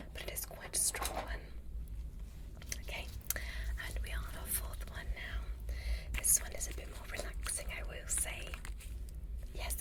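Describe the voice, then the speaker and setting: A woman voicing a calm, curious alien doctor in an ASMR roleplay, speaking in a gentle, breathy whisper with an unhurried, formal manner. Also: on the high side, full character voice, poor audio. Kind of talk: ASMR medical exam roleplay.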